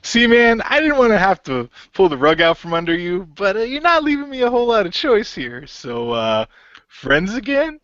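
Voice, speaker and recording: A man's voice: high voice, big pitch swings, moderate pace, a bit bright, no room sound, highs cut off, voice pushed up. A man voicing a goofy, easygoing shapeshifting hero in a hesitant, colloquial way for an amateur radio play. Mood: playful. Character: David, laid-back goofy shapeshifting male hero, often a genie.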